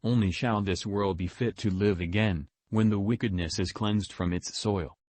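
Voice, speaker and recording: A man's voice: fairly low pitch, moderate pace, a little mellow, lightly acted. A man playing a solemn divine son who pronounces judgement on mankind in a grave, formal, archaic manner. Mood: solemn, stern. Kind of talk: scripted apocalyptic proclamation.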